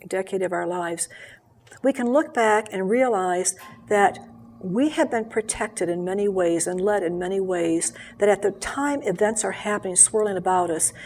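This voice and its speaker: Older woman, husky timbre, earnest reflective interview guest. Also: mid-range voice, darkish tone. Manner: earnest, measured, with frequent fillers and restarts. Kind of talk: reflective personal storytelling. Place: local TV studio.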